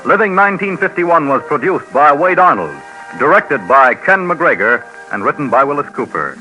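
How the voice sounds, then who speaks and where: A male announcer in formal 1950s radio style. His voice is sharp and forceful with lively, swinging intonation, crisp and declamatory over faint music. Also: on the high side, normal speed, poor audio.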